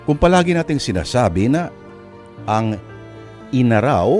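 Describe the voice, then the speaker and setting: A man with a Filipino accent hosting and narrating a radio drama, phrasing deliberately with dramatic pauses. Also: medium pitch, sing-song, darkish tone. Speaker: host and narrator. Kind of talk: dramatic radio storytelling introduction. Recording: music under the voice.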